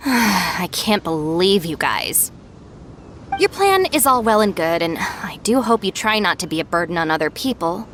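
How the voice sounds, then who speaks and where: A woman's voice: fairly low pitch, sing-song, a little slow, slight background noise. A woman playing a sensible, stern young deputy in a video game, dryly exasperated and matter-of-fact. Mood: exasperated, confident. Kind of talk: animated video game voice acting.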